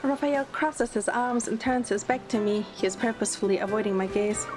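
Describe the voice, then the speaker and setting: A woman's voice: on the high side, fairly quick, natural voice. A woman playing a playful, teasing young heroine who narrates her story in an audio drama, in the first person. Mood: gentle narration. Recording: music under the voice. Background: background music.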